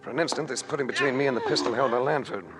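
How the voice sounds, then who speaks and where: An actor playing a wry, hard-boiled adventurer in a 1940s radio detective drama. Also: male voice, mid-range voice, racing, close to an everyday voice. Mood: wry narrating. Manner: dry, wisecracking. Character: wry, hard-boiled male adventurer telling his own story. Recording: noisy old radio broadcast recording.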